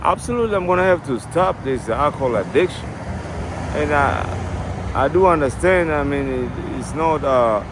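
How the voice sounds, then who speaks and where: Tanzanian guy, middle-aged, kinda high voice, lots of stops and restarts, street traffic behind. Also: lively intonation. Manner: halting, with many restarts and fillers. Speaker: interviewee. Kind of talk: street interview. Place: city street outdoors. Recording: outdoor street noise.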